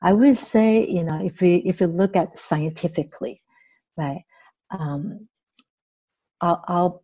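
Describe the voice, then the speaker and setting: A woman's voice: lowish voice, slow pace, warm tone. A woman, speaking as a podcast guest and therapist in training, thoughtful and full of fillers and "right?" tags. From Taiwan. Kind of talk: podcast interview.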